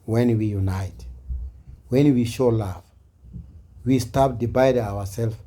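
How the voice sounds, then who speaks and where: Mellow African man making an earnest appeal. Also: mid-range voice, slow pace. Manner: earnest, exhorting, repetitive. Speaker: speaker addressing online viewers. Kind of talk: impassioned informal speech to camera.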